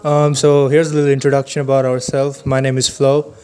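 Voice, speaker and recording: A young man from Bangalore, talking casually as a student podcast co-host, measured with many fillers. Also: medium pitch, completely flat delivery, darkish tone, smooth. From Bangalore, India. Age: young adult. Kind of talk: casual chat.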